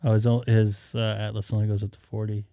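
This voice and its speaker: A man's voice: on the low side, monotone, quick pace, warm tone, dry recording, clean studio sound. A man hosting a ham radio live stream, bantering casually with the other operators. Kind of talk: casual on-air radio chat.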